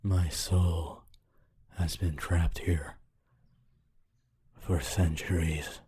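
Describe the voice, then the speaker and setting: A man playing a gentle, lonely, centuries-old ghost of a decaying mansion in a solemn, halting ASMR roleplay. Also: steady tone, full character voice. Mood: solemn, melancholy. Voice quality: deep, soft, husky and breathy. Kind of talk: ASMR audio roleplay, slow scripted monologue.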